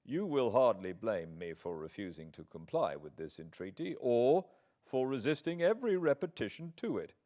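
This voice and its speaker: A British man reading a classic novel as a proud, wronged gentleman, with formal, measured, deliberate phrasing. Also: mid-range voice, very animated, pitched above the performer's own voice, lightly acted. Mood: indignant, resolute. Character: proud, wronged English gentleman writing a formal, earnest letter.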